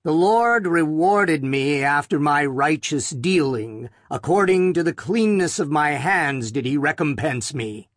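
A man voicing a solemn priest in an audiobook, reading scripture in a commanding, measured, declamatory way. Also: fairly high pitch, expressive, no echo, clean studio sound. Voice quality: commanding, clear. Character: priest solemnly reading a psalm aloud at graveside. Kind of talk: audiobook character voice.

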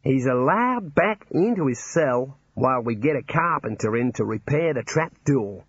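A man's voice: medium pitch, expressive, moderate pace, breathy edge, dry recording, lo-fi sound. A man voicing a cheerful prison warder, breezy and matter-of-fact about grim things. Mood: jovial. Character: cheerful older male prison warder, jovial, chatty.

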